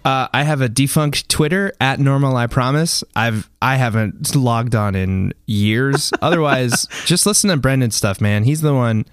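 A man's voice: medium pitch, moderate pace, few pauses, clean tone, no room sound. Blunt, informal man in a dry recording. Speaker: podcast guest, band musician. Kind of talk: casual chat.

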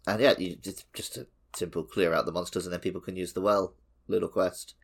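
A man's voice: sits low, fairly even intonation, fairly quick, gravelly, breathy edge. A man co-hosting a podcast, chatting casually and conversationally about a video game. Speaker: podcast co-host.

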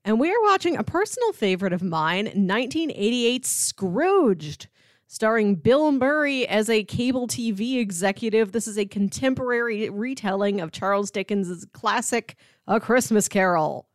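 A woman's voice: fairly high pitch, lively intonation, normal speed, no room sound, clean studio sound. A woman co-hosting a podcast, chatting casually and conversationally about films. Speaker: podcast co-host.

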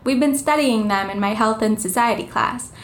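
An actress playing a bookish young schoolgirl in an audio drama, earnest, excitable and keen to explain things. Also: fairly high pitch, moderate pace, close to an everyday voice. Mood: earnest, pleading. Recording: roomy echo on the voice.

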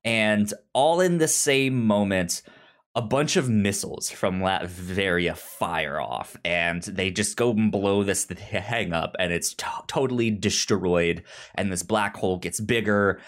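A podcast host reviewing a book in casual chat, speaking haltingly and often stammering over repeated syllables. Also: deep voice.

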